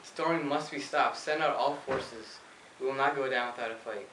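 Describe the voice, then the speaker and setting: A man's voice: mid-range voice, moderate pace, lightly acted. A man playing a pompous, self-absorbed French king in an amateur stage play, declaiming haughtily. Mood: alarmed. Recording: distant echoey amateur recording with hiss.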